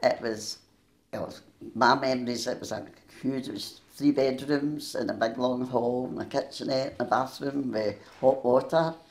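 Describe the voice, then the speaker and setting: An older Scottish woman with a gruff Glaswegian voice tells stories from her life in a chatty way and laughs easily. She speaks into a handheld mic in a small room with a noticeable echo. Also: very deep voice, fairly even intonation, moderate pace, darkish tone. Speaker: interviewee. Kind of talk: oral history interview. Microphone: handheld mic held near her.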